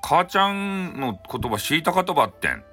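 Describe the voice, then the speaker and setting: A man's voice: on the high side, sing-song, normal speed, bright, forceful tone, faint music behind, voice pushed up. A man voicing a curious, determined young boy who speaks plainly in folksy Hakata dialect.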